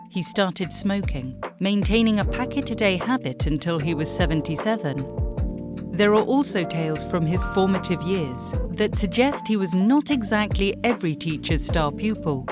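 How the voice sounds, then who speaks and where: A woman hosting a documentary series, reading scripted narration. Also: medium pitch.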